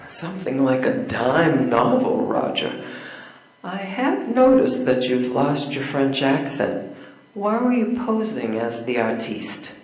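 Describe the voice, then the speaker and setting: An older man playing a frank, independent schoolteacher woman in a measured stage play reading. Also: medium pitch, sing-song, a little mellow. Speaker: amateur readers theater performer. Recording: echoey room.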